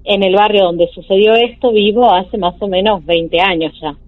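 A woman in her forties with an Argentine accent speaks over a tinny phone line. She hesitates often and fills the pauses. Her pitch sits in the middle range. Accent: Argentine Spanish. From Jujuy, Argentina. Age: 40s. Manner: hesitant, with frequent fillers. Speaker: caller telling a true story.

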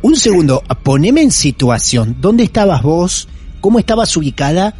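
An Argentine man hosting a paranormal story show, questioning the guest with quick short backchannels. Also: high voice, big pitch swings, dark tone, breathy edge, faint music behind. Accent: Argentine Spanish. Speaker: host. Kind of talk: casual interview.

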